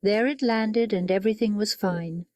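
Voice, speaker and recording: A woman's voice: mid-range voice, moderate pace, darkish tone. An older woman's text-to-speech voice with a faint Indian accent, reading a short demo sentence.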